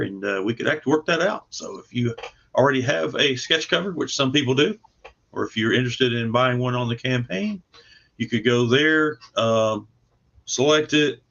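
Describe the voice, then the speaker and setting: A man with a mid-pitched voice talks casually as a guest comic creator. The audio is dull and lo-fi, and he explains his own project in an informal, conversational way. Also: a bit bright.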